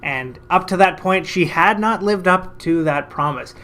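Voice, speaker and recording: A man from Canada, a financial advisor, telling a story to camera in a casual, lively, self-mocking way. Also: high voice, a little slow.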